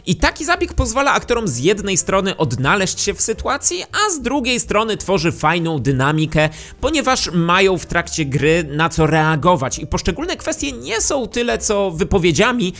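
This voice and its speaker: A man's voice: sits high, very animated, normal speed, a bit bright. A man in his thirties presenting to camera as a YouTube host, speaking close into a studio microphone. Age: 30s. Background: music.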